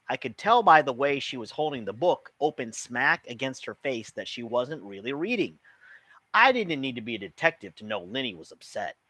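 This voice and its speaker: A man reading a children's book aloud as an expressive storyteller. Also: mid-range voice, no room sound.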